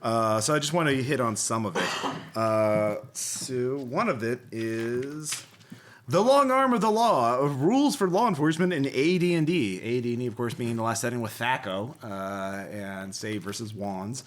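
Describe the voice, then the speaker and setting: A man's voice: medium pitch, animated, a little slow. Rambling, jokey male podcast host. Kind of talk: casual chat.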